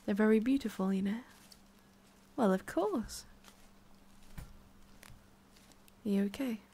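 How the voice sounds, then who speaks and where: A woman plays a centuries-old witch of the forest, talking straight to the listener in an ASMR roleplay. Her voice sits at an ordinary female pitch with plenty of fry. She is prickly and haughty on top, softer underneath, and muses haltingly as she goes. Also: animated. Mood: proud.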